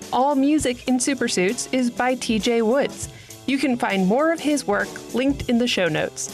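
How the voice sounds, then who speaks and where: Female showrunner for scripted podcast end credits, voice on the higher side, light music underneath.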